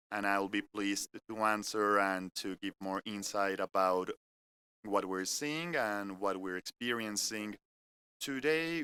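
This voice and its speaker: A man from France with a French accent, speaking in English as he gives a measured, earnest business presentation that moves point by point through an agenda. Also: fairly low pitch, bright, forceful tone, clear, resonant tone. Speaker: corporate keynote speaker. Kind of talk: live-streamed business presentation. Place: company innovation center studio.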